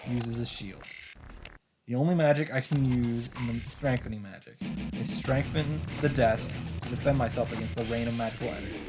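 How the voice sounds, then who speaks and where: A man with a mid-pitched voice reads visual novel narration aloud as a let's play host. He delivers it as first-person story text and drops in casual asides. Music plays faintly behind him. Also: brisk, low-quality recording. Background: game music.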